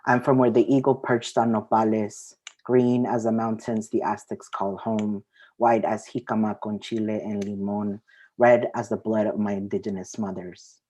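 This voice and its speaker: A woman's voice: very deep voice, little pitch movement, moderate pace, warm tone, low-quality recording. A woman, sharing her poetry at an open mic. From Mexico, raised partly in the US Southwest. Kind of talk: spoken-word poetry reading with casual introduction.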